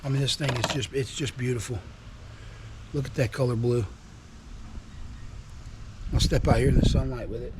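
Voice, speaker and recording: A man with a hoarse, worn voice from being sick, casually showing off truck parts with folksy enthusiasm and lots of repeats. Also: medium pitch, steady tone, moderate pace, pauses often, very noisy. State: hoarse from illness. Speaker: trucking vlogger. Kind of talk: casual product show-and-tell. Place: outdoors at a house.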